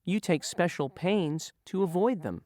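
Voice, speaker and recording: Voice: mid-range voice, measured pace, darkish tone, dry recording, studio quality. A reader posing review questions in a vocabulary lesson, then giving and explaining the answers. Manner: poses a choice, then confirms the right answer. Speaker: quiz reader. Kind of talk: lesson quiz narration.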